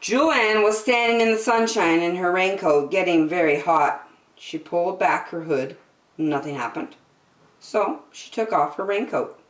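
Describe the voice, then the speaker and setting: A woman reading a picture book aloud to children, expressive and deliberate in her emphasis. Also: on the low side, distant mic. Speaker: children's story-time reader. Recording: echoey, roomy sound.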